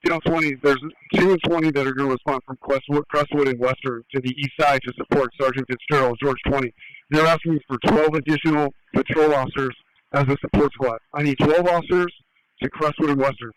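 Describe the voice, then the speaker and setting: A man's voice: on the high side, fairly even intonation, fairly quick, clear, resonant tone, dry recording, rough recording. A man speaking as a police officer over the radio, making urgent, direct requests. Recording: dry scanner radio audio.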